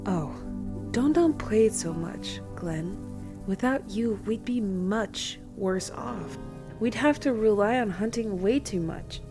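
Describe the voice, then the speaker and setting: A woman's voice: mid-range voice, lively intonation, takes it slowly, over music. A woman playing a friendly, appreciative zombie apocalypse survivor in a comic dub drama. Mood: grateful.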